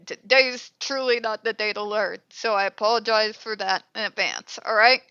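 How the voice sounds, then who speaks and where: A woman's voice: medium pitch, steady tone, normal speed, pushed and bright, clean tone, dry recording, lo-fi sound. A congested, stuffed-up woman hosting her own commentary channel, talking bluntly and with exasperation through a headset and swearing freely. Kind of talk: ranting commentary.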